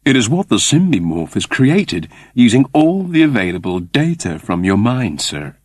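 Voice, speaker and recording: Man acting servile mechanoid butler, fussy, precise, polite, husky, dry-recorded sci-fi audiobook. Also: medium pitch, big pitch swings, moderate pace, darkish tone, no room sound, voice pushed up. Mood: deferential. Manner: deferential, precise, formal.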